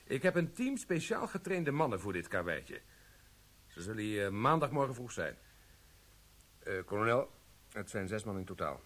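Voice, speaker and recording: An actor playing a polite but pointed military police sergeant in a radio play. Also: male voice, on the low side, big pitch swings, moderate pace, long pauses, fairly bright tone. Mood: cool.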